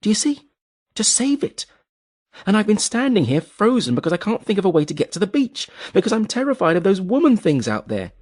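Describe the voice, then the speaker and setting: A man voicing a frightened, bitter adult woman in an audiobook, pleading and scornful in a voice pushed high. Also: sing-song, a little fast, darkish tone. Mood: desperate. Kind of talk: audiobook character voice.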